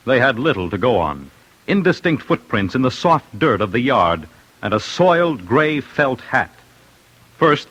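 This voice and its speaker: A man's voice: mid-range voice, a bit bright, breathy edge, poor audio. Dramatic, swooping American radio narrator, male. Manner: punchy, suspenseful short phrases. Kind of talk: dramatic 1940s-50s radio crime narration. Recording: old radio broadcast with music underneath.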